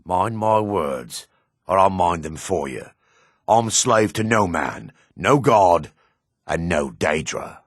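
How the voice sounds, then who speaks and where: A weathered dark elf fighter, with an extremely deep voice full of gravel. He is grim and measured, with menace in a lively, moving intonation. It is fantasy game dialogue, recorded dry and close. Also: no room sound, full character voice. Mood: defiant. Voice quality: gravelly, husky. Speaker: video game voice actor. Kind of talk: fantasy game character lines.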